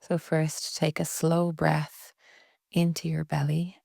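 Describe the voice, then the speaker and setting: A woman leading a guided relaxation meditation in a soft, breathy, soothing way with long pauses. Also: sits low, flat delivery, dry recording, clean studio sound. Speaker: yoga nidra meditation guide.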